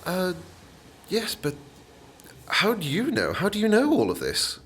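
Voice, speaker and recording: An actor playing a nervous, chatty and polite would-be novelist in a radio play, hesitant and apologetic. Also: male voice, sits high, huge pitch swings, measured pace, a bit bright, a little hiss or hum, full character voice. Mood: uneasy. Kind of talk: naturalistic radio play acting.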